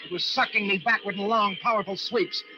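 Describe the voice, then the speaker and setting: An actor playing a desperate lighthouse keeper narrating a storm ordeal in an intense, breathless old-time radio drama style. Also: male voice, high voice, fairly even intonation, a little fast, very noisy, lightly acted. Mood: tense, urgent. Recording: muffled old radio recording with dramatic music.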